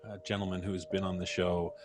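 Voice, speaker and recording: A man's voice: deep voice, flat delivery, fast talker, soft background music. A man hosting a live show with casual, jovial, playfully wry banter. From Canada. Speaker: host.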